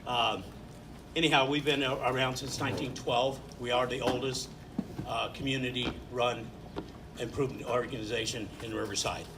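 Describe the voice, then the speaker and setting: A man speaks loudly and casually to a room of neighbors, stumbling through his welcome with frequent fillers. His voice has a middling pitch, and clear background noise fills the room around him. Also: fairly even intonation. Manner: loud, informal and halting, with many fillers. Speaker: president of a neighborhood association. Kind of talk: welcoming remarks at a public meeting. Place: community meeting room.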